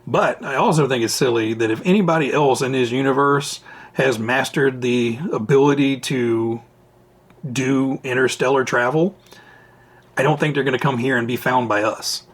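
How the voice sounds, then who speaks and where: A man hosting a hobby vlog, answering viewer questions in a rambling, chatty way, his voice raspy and tired after hours of recording at home. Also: medium pitch, normal speed. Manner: rambling, chatty, self-interrupting. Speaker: RC hobby channel host. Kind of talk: casual Q&A vlog talk. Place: at home, indoors. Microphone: camera mic.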